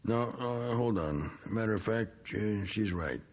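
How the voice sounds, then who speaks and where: An actor playing a gruff, bitter middle-aged American war veteran in a radio drama, with muttered, clipped phrases. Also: male voice, fairly low pitch, a little slow. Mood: grudging, sardonic.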